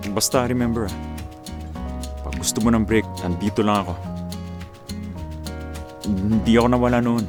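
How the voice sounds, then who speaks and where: A man acting in a Filipino radio drama as a famous action star, sentimental and devoted to his former screen partner, speaking in a sincere, halting way. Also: on the low side, lightly acted. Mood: sincere, reassuring. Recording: music behind the voice.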